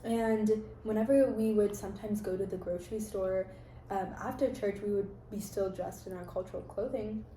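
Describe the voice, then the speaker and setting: A woman's voice: mid-range voice, fairly even intonation, normal speed, dark tone, roomy sound, slight background noise, poor audio. A young American woman hosting a casual chat, telling stories about her life in an earnest, reflective way. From United States. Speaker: host.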